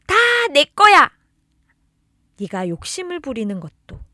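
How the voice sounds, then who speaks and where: Expressive, high-voiced woman storyteller. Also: no echo, clean studio sound. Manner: expressive, addresses listener as a beloved child. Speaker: storybook narrator reading children's catechism tales. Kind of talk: gentle scripted storytelling to a child.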